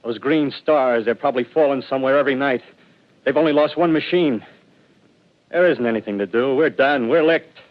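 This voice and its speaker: A man's voice: mid-range voice, normal speed, close to an everyday voice. Guy playing a rambling wild-eyed militia survivor, old radio play, dull lo-fi sound, midrange voice. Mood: feverish. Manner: rambling, feverish, swings between hushed and loud. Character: desperate male ex-militiaman scheming to survive the invaders. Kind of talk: 1930s radio drama acting. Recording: dull lo-fi vintage radio broadcast.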